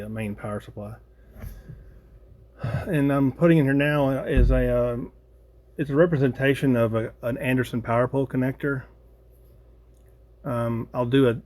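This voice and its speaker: An American man hosting a hobby video, casually walking through a project unscripted, thinking aloud in a halting way. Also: mid-range voice, a little mellow.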